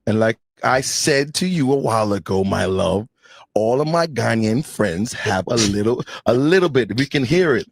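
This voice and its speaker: A man's voice: medium pitch, lively intonation, moderate pace, vocal fry, dry recording. A man in casual teasing chat, laid-back and slangy, pressing questions between laughs.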